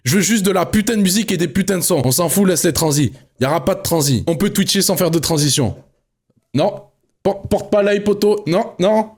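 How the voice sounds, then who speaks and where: A man streaming live and reviewing an album for his viewers, who swears constantly and swings from mulling things over to excited, laughing rants at the chat. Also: sits high, fairly quick, breathy edge. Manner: swears a lot, addresses chat, jumps from musing to excited ranting; laughs often.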